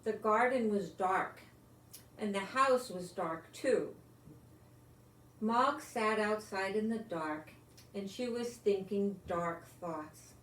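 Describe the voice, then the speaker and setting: An older woman reading a children's picture book aloud, expressively, with gentle exclamations and asides to young listeners. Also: medium pitch, slow. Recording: echoey room with some background noise.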